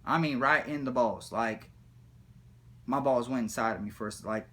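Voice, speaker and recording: A man telling a comic personal story in a rambling, slangy, profane way with animated retelling. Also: medium pitch, moderate pace. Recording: background noise, room ambience.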